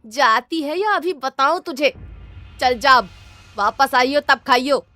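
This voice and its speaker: A woman voicing a cruel stepmother in an animated moral story, scolding with loud, sarcastic taunts. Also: high voice, a little slow, pitched above the performer's own voice, exaggerated voice. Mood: mocking, angry.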